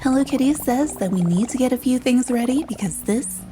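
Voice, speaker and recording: A woman's voice: high voice, animated, fairly quick, over music. A woman narrating a scripted video game walkthrough as the host of a guide.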